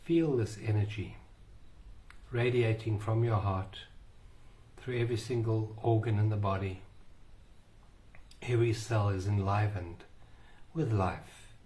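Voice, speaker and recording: A man guiding a meditation in a slow, soothing way with long pauses. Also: on the low side, fairly even intonation, warm tone. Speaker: meditation guide. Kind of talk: guided meditation.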